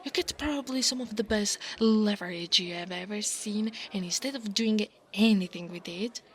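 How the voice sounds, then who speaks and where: A woman's voice: mid-range voice, fairly bright tone, natural voice. A woman playing a big, domineering but insecure dragon college bully in an ASMR roleplay, with a teasing, haughty manner. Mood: mocking, haughty. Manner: teasing, haughty, drawn-out delivery with breathy asides.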